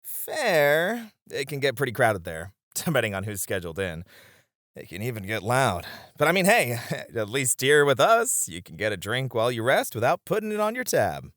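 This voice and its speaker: Man playing a supportive host with swooping pitch. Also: huge pitch swings, normal speed, a bit bright, no echo, clean studio sound. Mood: friendly, playful. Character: supportive, playful male lounge host looking after a colleague. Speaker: voice actor. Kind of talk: ASMR roleplay acting.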